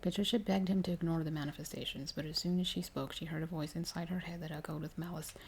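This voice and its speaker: A woman reading a horror story aloud in a soft, measured ASMR style, giving the dialogue some dramatic emphasis. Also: sits low, steady tone, slight background noise.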